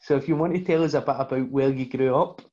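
A man's voice: mid-range voice, quick pace, darkish tone, dull audio. A man hosting a podcast, praising his guest and asking about his background. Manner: encouraging, short affirmations. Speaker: podcast host. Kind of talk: casual podcast interview.

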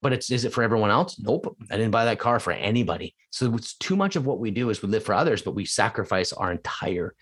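A middle-aged man from Canada with a fairly low voice, talking as a guest on a podcast. He is emphatic and asks a lot of rhetorical questions. His tone swings between loud excitement and quiet reflection. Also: quick pace, hardly any pauses. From Canada (Edmonton). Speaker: real estate coach, guest. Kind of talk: casual podcast interview.

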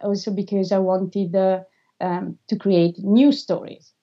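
Mellow-voiced Italian woman, hesitant. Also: medium pitch, level tone, measured pace, lo-fi sound. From Italy. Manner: hesitant, frequent filler sounds, non-native phrasing. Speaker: filmmaker being interviewed. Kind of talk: casual interview answers.

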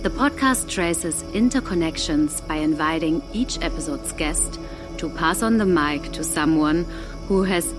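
A woman reading a scripted podcast introduction as an announcer. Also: deep voice. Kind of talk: scripted narration. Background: music.

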